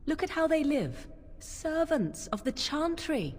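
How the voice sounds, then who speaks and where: A woman's voice: high-pitched, very animated, a little mellow. A woman playing a scornful, haughty young witch in a video game, drawling with disdain. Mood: contemptuous. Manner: disdainful, slow, drawling emphasis. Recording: long hall reverb.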